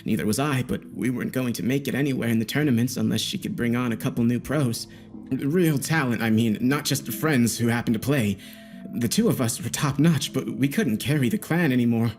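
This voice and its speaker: A man's voice: medium pitch, a little fast, darkish tone, lightly acted. A man voicing a nervous, defensive young male gamer under interrogation in a dramatic audio story. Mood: defensive, agitated. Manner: agitated, defensive, self-justifying. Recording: background music behind the voice.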